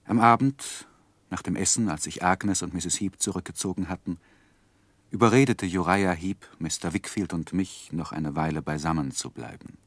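Low-voiced, earnest gentleman narrator. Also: no echo. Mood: grave reflection. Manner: grave, measured, literary. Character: earnest gentleman narrating his own life story. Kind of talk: 1960s radio drama narration.

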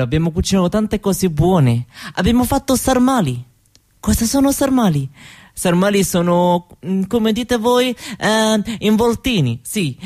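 A woman's voice: on the low side, darkish tone. A woman playing a cheerful, proud young newlywed in a stage monologue, speaking in broken grammar and searching for words. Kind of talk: theatrical monologue as if answering an interviewer.

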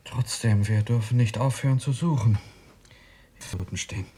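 An actor playing a skeptical, impatient doctor in a German radio drama, brisk and matter-of-fact. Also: male voice, mid-range voice, dark tone, a little hiss or hum, natural voice. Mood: firm, businesslike. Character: skeptical, impatient male doctor investigating a mystery.

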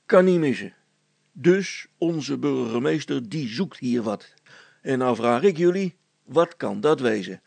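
Man playing a creaky, gossipy village policeman. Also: mid-range voice, expressive, unhurried, a little mellow, dry recording. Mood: secretive. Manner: hesitant, confiding, bossy, stammering over words.

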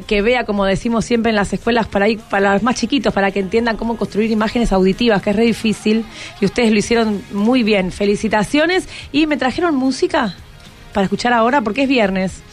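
A woman with a Buenos Aires accent hosts a casual radio interview. Her voice is fairly high and she speaks encouragingly, with music underneath. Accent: Argentine, Buenos Aires. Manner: encouraging, prompting with tag questions. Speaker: radio host.

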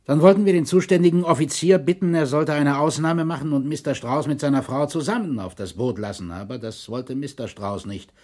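A man playing a colonel and historian, a shaken shipwreck survivor giving measured, formal testimony in a documentary radio drama. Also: a little high, a little mellow, natural voice. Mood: sober, measured.

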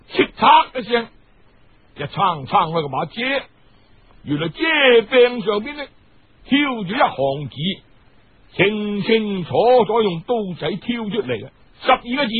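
A man with a Cantonese accent narrating a folk story in a colloquial, theatrical way, with drawn-out words and exclamations. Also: high voice, breathy edge, no echo. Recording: muffled, band-limited radio-style recording.

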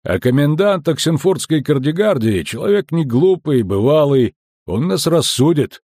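A man voicing a sly, unhurried customs officer who speaks slowly and clearly, with folksy mockery, in an audiobook. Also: a little high, sing-song, darkish tone, no room sound, clean studio sound, voice pushed up. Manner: slow, clear, drawling, mockingly folksy.

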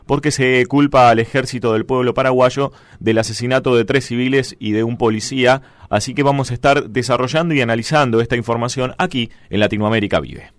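Radio news host, male; steady announcer reads, dry humor in the studio banter. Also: mid-range voice, fairly quick.

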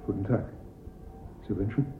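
An actor playing a polite, excitable Italian art dealer in a German radio drama, courteous and fussy in manner. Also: male voice, normal speed, background noise, close to an everyday voice. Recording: narrow, tinny old radio recording.

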